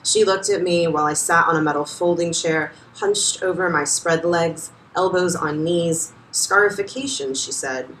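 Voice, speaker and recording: Woman reading her essay, measured and even, heard in a roomy bar. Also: fairly low pitch, slight background noise. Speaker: reader at a nonfiction reading. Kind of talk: reading a personal essay aloud. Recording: roomy, distant live venue sound.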